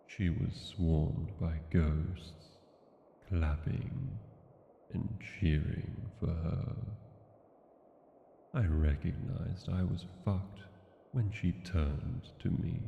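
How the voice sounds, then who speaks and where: Man voicing a very slow, gravelly deep dragon king. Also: echoey room, big-room sound, muffled recording, a little hiss or hum, heavily acted. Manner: slow, deliberate, solemn phrasing with long pauses. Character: brooding, regretful mad dragon king, weary and tender. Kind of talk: scripted fantasy audio roleplay, intimate monologue.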